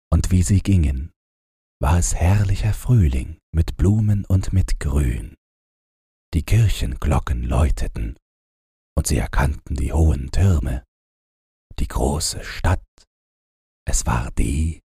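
A man narrating a fairy tale as a bedtime audiobook, in a gentle, soothing and deliberate storytelling style. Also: extremely low pitch, gravelly, husky, no echo, studio quality.

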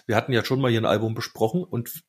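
A man's voice: medium pitch, fast, slightly rough. A man chatting casually and colloquially as a podcast co-host, with slangy turns of phrase.

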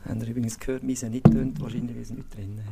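A Swiss German sports physiotherapist speaks as a podcast guest. He makes laid-back, dry remarks in a gravelly voice. His pitch sits in the middle range. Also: flat delivery, quick pace, very noisy. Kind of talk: casual chat.

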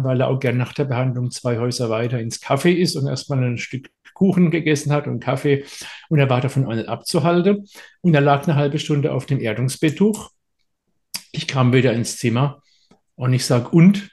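A middle-aged man, a therapist, telling a story in a relaxed interview, with many filler sounds and pauses. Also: mid-range voice. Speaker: therapist and guest.

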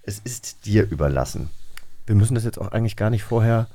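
A man's voice: lowish voice, animated, fairly quick, warm tone. A man hosting in a casual, conversational chat style. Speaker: host.

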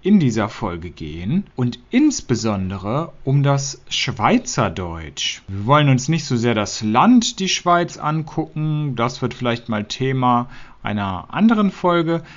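Guy hosting a learner podcast, talks real slow and clear, kinda muffled sound. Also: medium pitch, very animated. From northern Germany. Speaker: podcast host. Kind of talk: calm explanatory podcast talk for learners.

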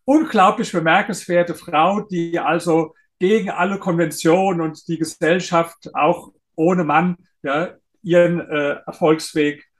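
A man, a guest author in an interview, explaining his points and often pausing on uh fillers. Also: a little high, fairly even intonation. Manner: explanatory, hesitant with frequent uh fillers. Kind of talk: interview conversation.

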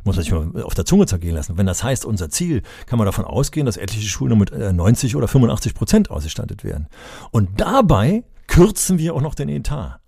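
An older man with a low, mellow voice co-hosts a podcast conversation and argues with emphatic passion. His voice sits close and dry on the mic, with no room sound. He grows more insistent as he makes his case. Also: big pitch swings, fast, gravelly, husky, no echo. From Germany (Berlin). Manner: impassioned, emphatic when angered, rhetorical. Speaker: podcast co-host, education advocate.

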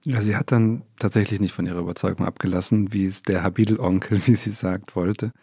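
A man co-hosting, calmly summarizing the guest's views. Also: deep voice, fairly quick, slightly rough, no room sound, studio quality. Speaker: podcast co-host, reporter. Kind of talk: summarizing narration.

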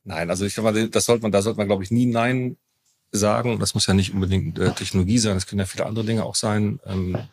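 A man's voice: fairly low pitch, fairly even intonation, fast, a little mellow. A man in his fifties chatting casually as an interview guest, colloquial, with loose, broken-off sentences. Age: 50s. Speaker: interview guest, company founder. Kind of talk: casual chat.

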